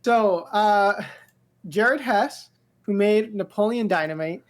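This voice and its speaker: A woman co-hosting a casual pop-culture news chat, speaking in an informal, halting, slightly bewildered way with slang. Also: medium pitch. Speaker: podcast co-host.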